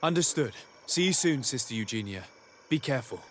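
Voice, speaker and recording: An actor playing a composed young noble diplomat, speaking calmly, formally and articulately in a video game. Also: male voice, mid-range voice, fairly quick, slight background noise, lightly acted. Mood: reassuring. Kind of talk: video game drama acting.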